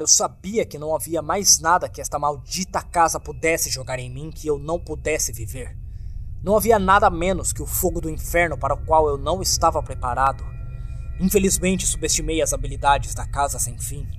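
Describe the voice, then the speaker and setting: A man's voice: a little high, fairly bright tone. A man with a Brazilian accent reading a scripted horror story as narrator, in a dramatic, suspenseful, theatrical way. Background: music.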